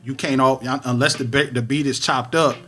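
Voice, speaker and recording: A man's voice: mid-range voice, fairly even intonation, moderate pace, sharp tone. A man hosting a podcast, from Detroit, talking shop about music production in a casual, slangy, analytical way. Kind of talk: casual conversational interview.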